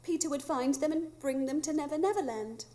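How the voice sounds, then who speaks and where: A woman's voice: high voice, brisk, dark tone, lightly acted. A woman playing a prim, precise English lady, proper and understated, in a live radio drama. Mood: wistful, explanatory.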